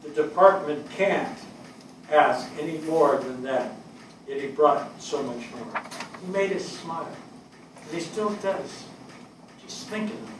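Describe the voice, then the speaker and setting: Older guy acting earnest fire captain reading a eulogy on stage, measured, far from the mic with faint noise. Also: fairly high pitch, animated, heavy reverb. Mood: proud, tender. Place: indoor theatre stage. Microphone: distant camera.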